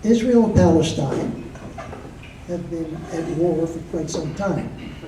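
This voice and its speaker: An older man from Michigan, speaking to a club audience as a guest speaker, slow and measured with frequent pauses and fillers. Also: a little high, expressive, heavy background noise. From Michigan, USA. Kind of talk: informal talk to a club audience. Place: meeting room. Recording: roomy echo, heard from a distance.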